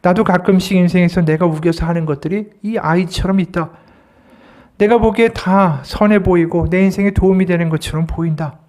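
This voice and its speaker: A man's voice: high-pitched, steady tone, brisk, a little mellow. A man, an associate pastor, giving a Sunday sermon, explaining scripture and asking the congregation rhetorical questions.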